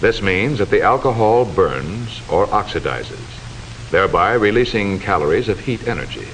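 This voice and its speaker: A man delivering formal, measured, instructive scripted narration in the style of a 1940s educational film, on a hissy old soundtrack. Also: lowish voice, animated, a bit bright, poor audio.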